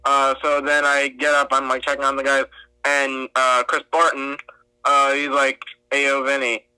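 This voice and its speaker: Young male referee guest, crisp tone, talks loosely with constant fillers. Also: medium pitch, completely flat delivery, normal speed, pushed and bright, no echo, rough recording. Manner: chatty, many fillers like "like". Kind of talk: casual interview answers.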